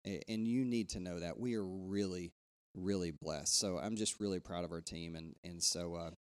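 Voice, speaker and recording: A man's voice: normal speed, clean tone. A man, a pastor giving church announcements in a loose, conversational way, full of fillers and restarts. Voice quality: bassy. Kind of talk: church announcements before a sermon.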